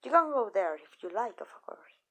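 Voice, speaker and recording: Vampire mistress, played by a woman, for ASMR roleplay: soft, gravelly fry, tender and possessive, slow-weighted pauses, close and confiding. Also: on the high side, very animated, exaggerated voice. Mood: proud, protective. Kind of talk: ASMR roleplay, intimate scripted monologue.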